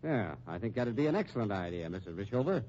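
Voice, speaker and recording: An actor playing a dry, dogged police lieutenant with wry, insistent questioning in a 1950s radio drama. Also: male voice, lowish voice, big pitch swings, fast, a little mellow. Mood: dry, unyielding. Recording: muffled, noisy old radio recording.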